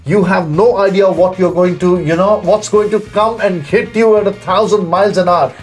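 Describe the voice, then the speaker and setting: A man's voice: high voice, fairly even intonation, moderate pace, faint music behind. A man hosting an interview, gushing with praise and leaning on "you know" fillers. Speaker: talk show host. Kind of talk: effusive interview chat.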